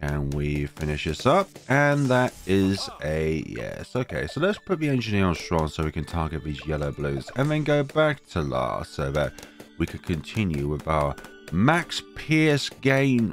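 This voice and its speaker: A man with a cold narrating gameplay in a casual, wry way, talking to his game units as he plays. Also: low voice. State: has a cold, voice deeper than usual. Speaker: game guide creator.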